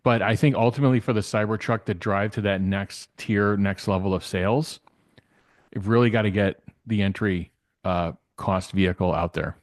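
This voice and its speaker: Male guest commentator, lowish pitch, dry sound, conversational, halting as he rephrases. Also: steady tone, no room sound. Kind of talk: casual off-the-cuff commentary.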